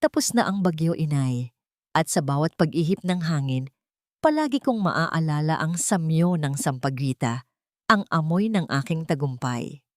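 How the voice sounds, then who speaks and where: A woman narrator voicing a gentle, reflective young heroine of an audio story, earnest, respectful and measured. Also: sits low, animated, no room sound, clean studio sound. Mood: tender, resolved.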